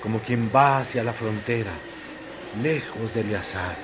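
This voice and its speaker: A man narrating a literary radio drama in solemn, measured phrases. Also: lowish voice, natural voice. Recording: noisy track with ambient effects.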